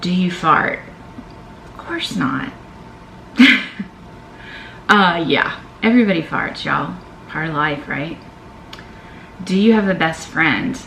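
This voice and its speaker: Gravelly woman in an echoey room. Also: low-pitched, lively intonation, slow, pauses often, fairly bright tone, slight background noise. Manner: chatty, candid, reads each question aloud then answers. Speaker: vlogger. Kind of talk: casual Q&A vlog.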